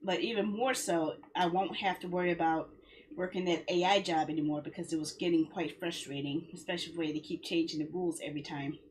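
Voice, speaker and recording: A woman's voice: fairly low pitch, steady tone, fast, slight background noise, low-quality recording. A woman game streamer talking casually to her viewers in a rambling, conversational way. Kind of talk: casual livestream chat.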